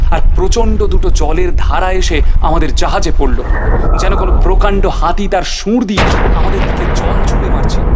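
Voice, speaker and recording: A man's voice: a little high, lightly acted. An actor playing an earnest sea adventurer who narrates his perilous voyage in a theatrical, suspenseful audio drama style. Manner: theatrical, suspenseful, repeats words breathlessly in peril. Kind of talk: dramatised first-person story narration.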